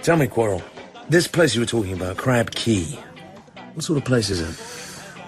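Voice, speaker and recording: A man's voice: on the low side, big pitch swings, moderate pace, faint music behind. A man acting in a radio drama as a terse, wary British secret agent who speaks in a clipped, commanding, guarded way. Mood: relaxed.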